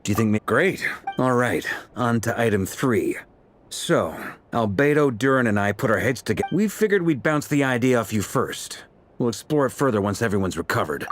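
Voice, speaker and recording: An actor playing an affable, wry veteran knight commander in a video game, speaking in a down-to-earth, conversational way. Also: male voice, mid-range voice, lively intonation, normal speed, slight background noise, natural voice. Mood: businesslike, frank. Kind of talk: naturalistic video game voice acting.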